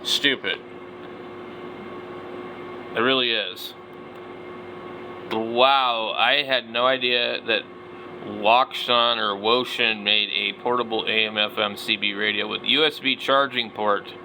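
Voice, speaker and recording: A man's voice: fairly low pitch, fairly even intonation, slow, creaky, long pauses, noisy background. A middle-aged man giving casual, unscripted commentary as a hobby vlogger, blunt and opinionated, with some slangy wording.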